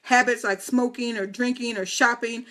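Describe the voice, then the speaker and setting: A woman's voice: on the high side, fairly even intonation, moderate pace, a bit bright. A woman in her fifties hosting a casual live video talk, speaking straight to viewers with playful, teasing asides. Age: 50s. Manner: playful, teasing asides and chatty direct address.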